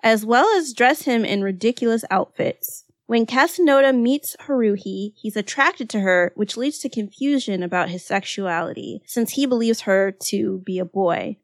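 A woman's voice: a little high, dry recording. A woman in her thirties co-hosting a podcast in casual chat, laughing often and leaning on "like" fillers. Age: 30s. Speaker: podcast co-host.

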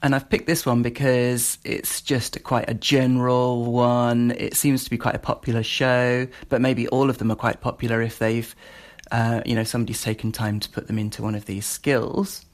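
British guy showing how something works, average pitch, chatty, unscripted, bit hesitant and rambly. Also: level tone, normal speed. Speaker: presenter demonstrating a voice assistant skill. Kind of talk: casual spoken demonstration.

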